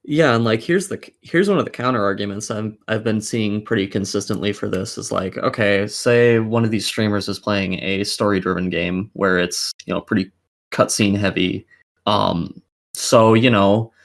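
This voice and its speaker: A man co-hosting a casual podcast, giving opinionated commentary in a blunt, sweary, argumentative way. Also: on the low side. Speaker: podcast co-host.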